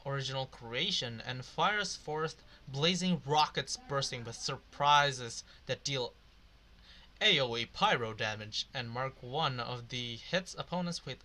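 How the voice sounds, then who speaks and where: A man's voice: medium pitch, animated, measured pace, sharp tone. A man doing casual, rambling gameplay commentary, swearing now and then. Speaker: video game streamer.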